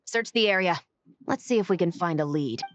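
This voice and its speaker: A woman's voice: fairly low pitch, expressive, fairly quick, lightly acted. A woman playing a resolute, businesslike law enforcement officer in an anime video game, firm and matter-of-fact. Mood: focused. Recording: muffled game audio under music.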